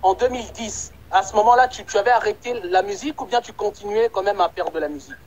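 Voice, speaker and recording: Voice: mid-range voice, fast, a little mellow, muffled recording. Casual interviewer recapping the answers. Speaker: interviewer, host.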